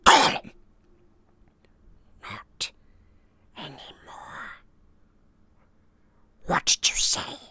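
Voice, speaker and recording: A man voicing a wretched, split-minded cave creature with a raspy, hissing, creaky voice, by turns wheedling and spiteful. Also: sharp tone, no room sound, whispered, full character voice. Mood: frightened, pleading. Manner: slow muttering, swinging between whimper and shriek.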